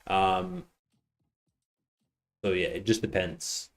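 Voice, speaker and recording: A man's voice: deep voice, very animated, takes it slowly, creaky. Chatty man with a tired voice. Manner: chatty, reads out chat messages, many restarts. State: losing his voice. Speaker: livestream trader. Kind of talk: casual live stream commentary.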